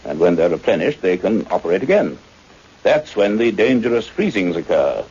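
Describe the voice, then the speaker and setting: A man's voice: sits low, very animated, normal speed, darkish tone, husky, exaggerated voice. A man acting an earnest, methodical scientist in a radio play, speaking formally and precisely. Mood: measured explaining. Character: earnest, methodical male scientist, a doctor who narrates. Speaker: actor playing the lead and narrator. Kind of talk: radio drama acting and scripted narration. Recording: muffled radio recording with faint noise.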